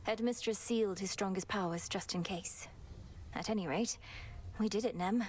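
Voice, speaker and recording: A woman's voice: medium pitch, a little mellow, natural voice. Game role: woman as dutiful young underworld princess, formal, composed, earnest; faint noise behind. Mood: composed, resolute. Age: young adult. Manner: formal, measured, courteous diction. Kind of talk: video game voice acting.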